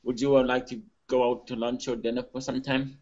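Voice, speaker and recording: A man in his thirties co-hosting an interview, speaking in a hesitant, halting way. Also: mid-range voice, little pitch movement, a little mellow, highs cut off. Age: 30s. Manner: hesitant, halting, lots of ums. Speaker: co-host. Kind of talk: casual interview talk.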